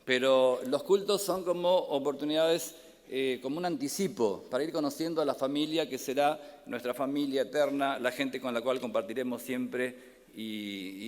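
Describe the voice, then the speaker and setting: A man from Córdoba, Argentina, with a Córdoba accent, preaching a sermon as a pastor in a gentle way, pausing often on "eh". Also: mid-range voice. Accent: Argentine Spanish, Córdoba. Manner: gentle, warm-hearted, many filler "eh" pauses. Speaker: pastor and Bible professor. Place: large church hall. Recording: long hall reverb.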